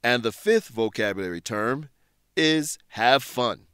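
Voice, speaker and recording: A male teacher narrates a scripted English lesson. His medium-pitched voice has very varied intonation, and he explains things in a clear, deliberate way. The recording is dry and free of room sound. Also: animated, fairly bright tone, no echo.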